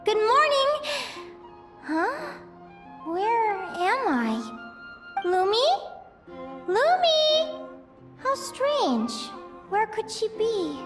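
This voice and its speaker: A woman voicing a cheerful, impulsive young adventurer in a game, bubbly and quick to exclaim. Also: very high voice, sing-song, over loud music, exaggerated voice. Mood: puzzled. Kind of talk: game cutscene voice acting.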